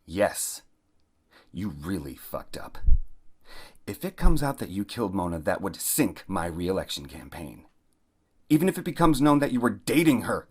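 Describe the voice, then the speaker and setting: A man's voice: lowish voice, husky, full character voice. A man acting as a corrupt, commanding billionaire mayor and protective father in an audio drama, speaking curtly. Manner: curt, commanding. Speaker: actor in an audio play.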